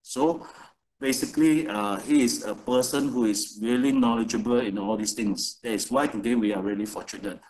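A man's voice: mid-range voice, normal speed, darkish tone, poor audio. Man, host, introducing speaker, fillers, video call. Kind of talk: event introduction.

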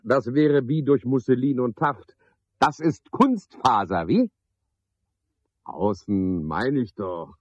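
An actor playing a garrulous, pompous, sardonic porter at a boarding house, with a husky voice, in a radio play monologue. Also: male voice, mid-range voice, no room sound, muffled recording. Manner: rambling, pompous monologue with mocking asides. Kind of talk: 1970s German radio play acting.

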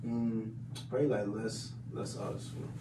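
A young man, a rapper in the studio, talking quietly and low-key between takes. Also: steady tone, normal speed, heavy background noise. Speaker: rapper recording vocals. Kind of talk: casual studio chat. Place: small home recording studio, indoors. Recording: roomy, distant.

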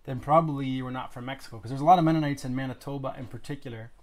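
A man in his thirties hosts a podcast in a relaxed, chatty way. His voice sits at a middling pitch. He is recorded indoors in a small wood-paneled room. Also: rapid-fire. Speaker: podcast host. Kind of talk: casual conversational interview.

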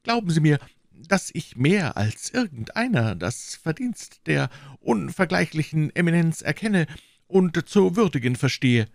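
A man's voice: mid-range voice, very animated, moderate pace, no echo, pitched above the performer's own voice. A man voicing a timid, frightened middle-aged shopkeeper in an audiobook, trembling and pleading as he is questioned. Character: timid, frightened fifty-one-year-old shopkeeper under interrogation. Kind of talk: acted audiobook dialogue.

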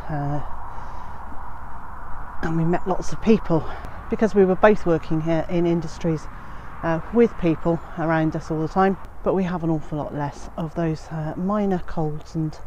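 A woman's voice: low-pitched, fairly even intonation, moderate pace, mellow, highs cut off, a little hiss or hum. A woman in her fifties, slightly bunged up with a cold, chatting casually to a handheld phone outdoors in a frosty garden, with many "uh" fillers. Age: 50s. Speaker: homesteading vlogger. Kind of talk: casual walk-and-talk vlog. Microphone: handheld phone at arm's length.

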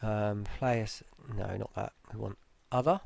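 Gravelly-voiced man giving a tutorial. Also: fairly low pitch, fairly even intonation, moderate pace, a little mellow, no room sound. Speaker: software tutorial presenter. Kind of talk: casual screen-recorded tutorial walkthrough.

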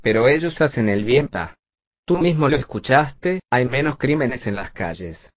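A man's voice: mid-range voice, expressive, normal speed, dry recording, dull audio, close to an everyday voice. A man playing an argumentative, earnest young son who works in a shop, in an amateur drama dub. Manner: questioning, earnest. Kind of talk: amateur Spanish dub acting.

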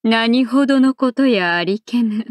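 Woman acting slow, stately courtly princess warrior. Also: on the high side, slight background noise, natural voice. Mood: regal, composed. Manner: formal, archaic courtesan-style Japanese phrasing. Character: refined princess warrior with archaic courtly speech. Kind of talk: anime game character acting. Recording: voice over loud game music.

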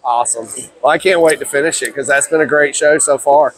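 A man's voice: a little high, a little fast, slight background noise, low-quality recording. A man in his thirties or forties, hosting a casual fan interview. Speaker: host, interviewer.

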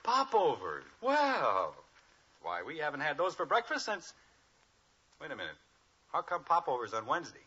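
Genial middle-aged sitcom dad, American, bright animated voice, playfully pompous, muffled old radio recording. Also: male voice, fairly high pitch, normal speed, pauses often, breathy edge, exaggerated voice. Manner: playful, mock-grandiose. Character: genial teasing middle-aged suburban father. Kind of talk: 1950s radio sitcom acting.

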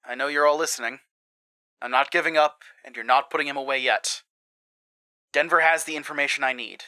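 An actor playing a driven, stern male investigator in an audio drama, with a measured, pressing delivery. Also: medium pitch, bright, forceful tone, close to an everyday voice. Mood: grim, resolute.

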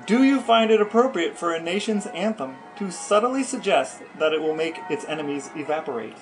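High-pitched man asking questions over music. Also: animated, a little fast, rough recording. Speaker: interviewer. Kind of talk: scripted interview questions.

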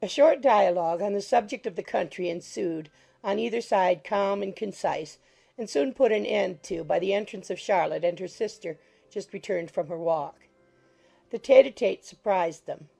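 A woman narrating a classic novel as an audiobook in a measured, formal storytelling manner. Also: medium pitch, vocal fry, warm tone, husky, soft background music. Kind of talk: scripted literary narration.

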